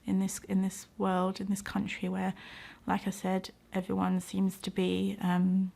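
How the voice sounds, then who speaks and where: A woman's voice: medium pitch, completely flat delivery, a little slow, creaky, mellow. A middle-aged British woman speaking reflectively in an interview, earnest and thoughtful, often hesitating as she finds her words. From United Kingdom. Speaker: interviewee, doctor and community organiser.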